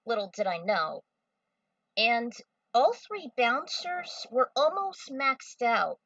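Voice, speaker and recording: Slow, bright-voiced woman narrating. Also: fairly high pitch, sing-song, dry recording, highs cut off. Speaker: fan fiction narrator and game commentator. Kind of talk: dramatic story narration over game scenes.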